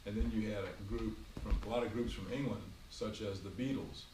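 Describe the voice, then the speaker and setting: A man in his sixties from Virginia, a grandfather answering his grandchild's questions at home by the fire, explaining in a measured way with frequent ums and uhs. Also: fairly low pitch, reverberant, far from the mic, background noise. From Virginia, USA. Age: 60s. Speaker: grandfather being interviewed. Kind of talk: family interview, casual recollection. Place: home, beside a fireplace.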